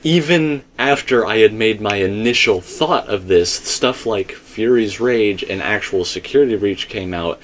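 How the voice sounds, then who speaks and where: A man with a slightly low voice leads a casual discussion of theories. He talks in a rambling but emphatic way, like a host laying out a case. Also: measured pace, few pauses, a bit bright.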